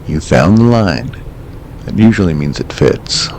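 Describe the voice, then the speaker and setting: A man acting as a calm, cryptic late-night radio host in an audio drama, measured, terse and quietly ominous. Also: deep voice, gravelly, a little hiss or hum, heavily acted.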